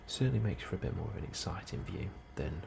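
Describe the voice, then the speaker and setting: Very deep, gravelly, soft-spoken man. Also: expressive. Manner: hushed, unhurried, sometimes dropping into whisper. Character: calm, helpful male colony immigration officer. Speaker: ASMR role-play performer. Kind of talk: soft-spoken ASMR role-play as an immigration clerk. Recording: clearly audible background ambience noise.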